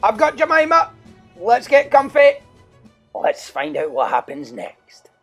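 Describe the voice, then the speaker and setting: A man putting on the voice of an excitable listener introducing a storybook audio play with gushing chatter. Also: extremely high pitch, a little slow, over music, voice pushed up, full character voice. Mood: excited.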